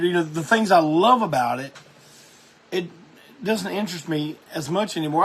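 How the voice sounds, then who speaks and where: A man's voice: a little high, fairly bright tone, husky. A man hosting a music show, talking casually and enthusiastically about records, rambling with lots of restarts.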